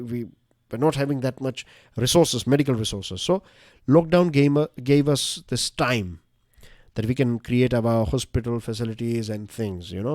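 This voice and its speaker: A man's voice: medium pitch, darkish tone, dry recording, studio quality. An Indian man with a Gujarati-influenced accent, a Gujarati native speaker, giving a casual current-affairs lecture with lots of fillers and rhetorical questions. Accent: Gujarati-influenced Indian English. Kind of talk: conversational exam-prep lecture.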